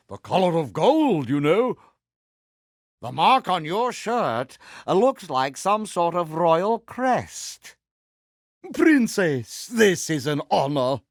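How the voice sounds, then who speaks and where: Vain pirate villain, British accent, highish swooping pitch, grandiose, mannered, theatrical game voice. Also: male voice, fairly bright tone, dry recording, studio quality, full character voice. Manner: pompous, grandiose, mannered formal speech. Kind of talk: theatrical video game character voice acting.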